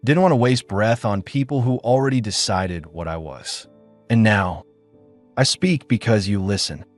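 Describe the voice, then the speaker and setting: A man voicing a quiet, wounded young alien woman who speaks low and direct. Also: expressive, moderate pace, faint music behind, natural voice. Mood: soft, earnest.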